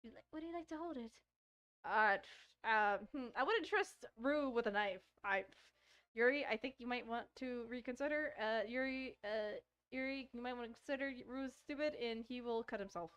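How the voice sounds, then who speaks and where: Girl streamer w/ highish voice reading a visual novel out loud, casual commentary, stumbles on words a bit. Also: normal speed, clear, resonant tone. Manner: stumbles over words while reading, repeating phrases. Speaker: gaming streamer.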